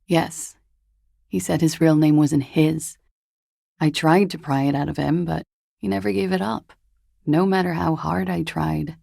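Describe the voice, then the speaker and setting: Low, languid, jaded disco dancer. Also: female voice, gravelly, dark tone, no room sound, studio quality, full character voice. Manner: languid, wry, guarded, with trailing pauses. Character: jaded, evasive, hungover young female disco dancer. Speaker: voice actor in a video game. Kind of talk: video game character dialogue.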